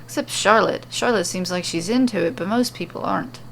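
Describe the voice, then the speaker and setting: A woman's voice: medium pitch, fairly quick, gravelly, a little hiss or hum, close to an everyday voice. A woman voicing a cocky, world-weary female champion with a boastful, dispassionate manner in an audiobook-style fantasy story. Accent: attempted Australian.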